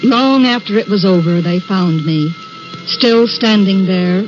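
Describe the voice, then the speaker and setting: An actress playing a haunted woman recounting a horror in a dramatic, deliberate 1950s radio drama style. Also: medium pitch, muffled recording, close to an everyday voice. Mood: haunted, grave. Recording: old band-limited radio recording, music behind.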